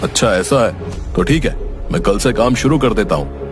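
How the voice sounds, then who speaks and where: A man's voice: on the low side, animated, normal speed, over music, lightly acted. A man voicing a blunt, skeptical, exasperated mason in a comedy cartoon, often questioning in disbelief. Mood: resigned. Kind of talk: comedy cartoon story acting.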